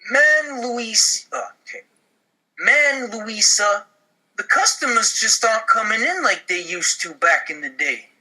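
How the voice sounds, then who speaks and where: A man's voice: high voice, expressive, measured pace, sharp tone, heavily acted, rough recording. A man playing an eager, awkward, lovestruck grown son who runs a pizzeria, in a comedy table read. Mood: glum.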